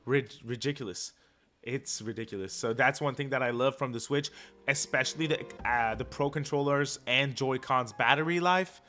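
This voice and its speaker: A man hosts a casual livestream over clearly audible music. His voice sits at an average pitch, and he talks in a laid-back, slangy way, swearing lightly as he chats with viewers. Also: normal speed, bright, forceful tone. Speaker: livestream host. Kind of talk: casual livestream chat, reacting and reading viewer comments.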